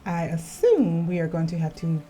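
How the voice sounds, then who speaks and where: Chatty woman playing a game, lively voice, mellow tone, game music low behind. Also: moderate pace. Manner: chatty, playful, reads game text aloud. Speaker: gaming video host. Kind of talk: casual gameplay commentary. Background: faint game music.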